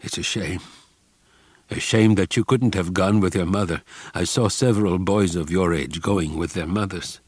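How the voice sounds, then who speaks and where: A man voicing a grieving father who speaks quietly and very sadly to his son. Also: sits low, normal speed, husky, dry recording, clean studio sound. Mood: sorrowful. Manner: terribly sad, quiet.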